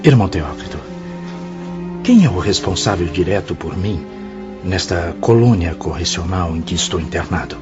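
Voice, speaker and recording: Guilt-ridden brazilian dead man's spirit in a radio play, low voice, anguished theatrical pleading, music behind. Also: expressive, normal speed. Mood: troubled, hesitant. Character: tormented, remorseful male suicide's spirit, desperate and pleading. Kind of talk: radio drama acting. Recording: dull lo-fi audio under clear music.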